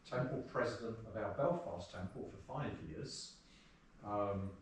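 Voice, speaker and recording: A man's voice: on the low side, unhurried. Very echoey man making a casual introduction. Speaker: introducer of the guest. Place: house gathering room, indoors. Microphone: distant from the speaker. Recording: very echoey room, distant, faint noise.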